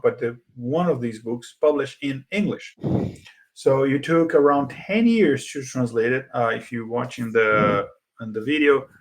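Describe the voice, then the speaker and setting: A man with a gravelly, fry-edged voice at a middle pitch, talking casually as a podcast co-host. His first language is Portuguese, and he pauses often, circling back with fillers and restarts. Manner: hesitant, many fillers and restarts. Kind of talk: casual conversation.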